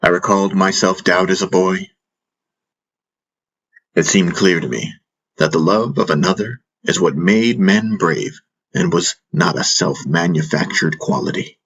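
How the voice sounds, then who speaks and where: A man with a husky voice narrating a first-person fantasy audiobook in a reflective storytelling manner. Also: deep voice, unhurried, fairly bright tone, poor audio.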